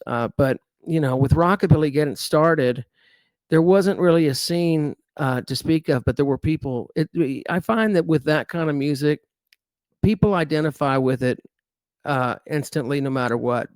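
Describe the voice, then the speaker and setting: Easygoing musician, dry studio sound. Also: male voice, no room sound. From Dallas, Texas. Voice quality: fairly high crooning voice. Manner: easygoing storyteller, lots of fillers and restarts. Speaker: musician guest. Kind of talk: relaxed podcast interview.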